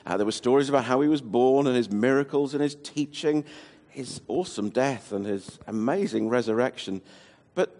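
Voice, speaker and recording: A man's voice: mid-range voice, darkish tone. Man acting as genial ancient Greek physician and writer, chatty, playful, hesitant, slightly muffled, long hall reverb. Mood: earnest. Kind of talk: in-character interview for a church audience.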